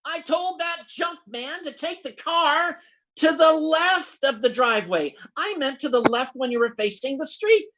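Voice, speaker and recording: An actor playing a folksy, blustering, scheming husband in old-time radio comedy, using slang and stammering when flustered. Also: male voice, very high-pitched, animated, a little slow, bright, forceful tone, exaggerated voice. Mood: flustered, panicky. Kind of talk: vintage radio comedy acting.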